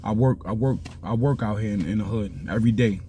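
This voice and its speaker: Middle-aged Bronx man, rambling street talk, dark relaxed voice, light hiss. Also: on the low side, a little fast. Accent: New York (Bronx). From South Bronx, New York. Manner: rambling, keeps asking "you understand?". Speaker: vlogger giving life advice.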